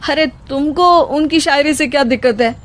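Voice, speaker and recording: A woman's voice: sits high, normal speed, fairly bright tone. A woman voicing an easygoing, dismissive husband in a comic story, speaking in a casual, breezy manner. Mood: dismissive, amused. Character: easygoing husband, adult man, dismissive and teasing.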